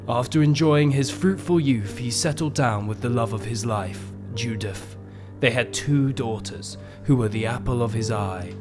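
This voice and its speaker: An actor playing a tall, gaunt Death, a courteous and eerie collector of souls who speaks slowly and formally, in a gothic comic radio play. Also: male voice, medium pitch, close to an everyday voice. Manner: slow, deliberate, formal storytelling. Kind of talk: gothic comic audio drama acting. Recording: music bed behind the voice.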